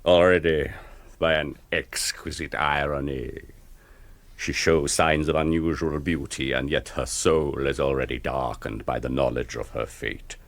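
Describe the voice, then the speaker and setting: A man's voice: a bass voice, fairly even intonation, a little slow, creaky, no room sound, heavily acted. An actor playing a brooding, aristocratic old vampire count and father in a radio play, solemn and portentous. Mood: doom-laden, brooding.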